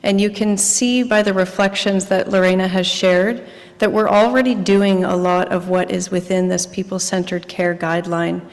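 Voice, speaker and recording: A woman's voice: mid-range voice, fairly even intonation, measured pace, big-room sound. A woman moderating a conference, thanking a presenter and introducing the next one. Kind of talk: introducing speakers. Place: large conference hall.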